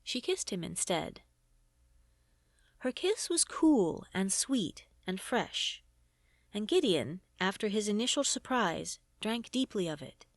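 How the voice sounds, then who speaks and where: A woman narrating a fantasy story from a script in an expressive storytelling way. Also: mid-range voice, takes it slowly, no room sound, studio quality. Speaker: audiobook reader.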